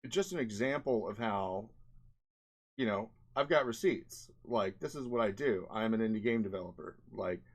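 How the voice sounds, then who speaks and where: A man's voice: lowish voice, animated, moderate pace. A man narrating a casual screen-share vlog as an indie game developer, rambling conversationally and often checking in with "right?" and "you know".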